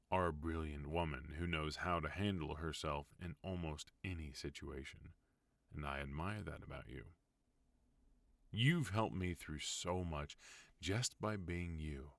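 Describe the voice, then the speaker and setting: A strikingly deep, unhurried voice of a mafia boss husband, as a man acts him out, guarded yet tender toward his partner. He talks softly and intimately in an ASMR roleplay, close and dry with no room around him. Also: no echo, exaggerated voice. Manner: soft, intimate, speaking to an unheard listener.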